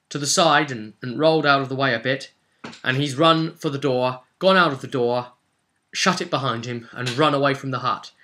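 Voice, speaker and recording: A man's voice: mid-range voice, bright, forceful tone. A man narrating a tabletop role-play as game master, theatrical and dramatic, in short punchy phrases.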